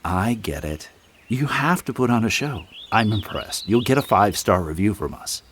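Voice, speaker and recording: Cocky, lively skeptical schemer. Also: male voice, fairly low pitch, moderate pace, a little hiss or hum. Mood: dismissive. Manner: casual, slick, dismissive. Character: cocky, skeptical male vacationer and scheming developer. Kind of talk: horror audio drama acting.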